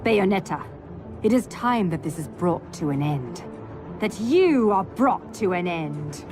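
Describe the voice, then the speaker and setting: A woman's voice: lowish voice, sing-song, a little slow. A woman playing a stern, solemn witch in a video game, speaking in a grave, formal, declamatory way. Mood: stern, threatening. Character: stern, solemn witch rival guarding her clan's treasures. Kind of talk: video game cutscene acting. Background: loud music.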